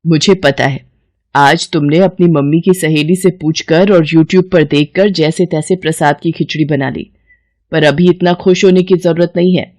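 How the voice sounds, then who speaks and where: A woman's voice: sits low, darkish tone, no echo, studio quality, lightly acted. A woman voicing a stern, critical middle-aged mother-in-law in a family story.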